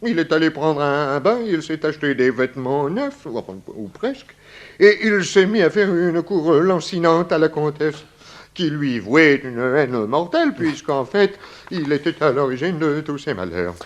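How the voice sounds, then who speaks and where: Man playing an excitable, high-pitched, theatrical gossip. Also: normal speed, muffled recording, lightly acted. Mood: confiding, dramatic. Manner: theatrical, hesitant repetitions, florid speech. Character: effusive, tearful, gossipy male boarding-house lodger. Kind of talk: 1960s French radio play acting.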